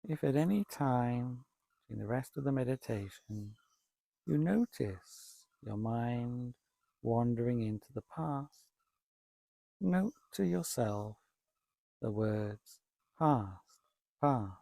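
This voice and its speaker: A man leading a guided meditation, speaking calmly and deliberately in short phrases with long pauses between them. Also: medium pitch, vocal fry, no echo. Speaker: meditation teacher, Buddhist monk.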